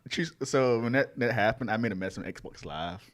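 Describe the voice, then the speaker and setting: A man's voice: medium pitch, moderate pace. A man in his twenties co-hosting a podcast, telling stories, swearing and laughing often. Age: 20s. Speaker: podcast co-host. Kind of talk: casual chat.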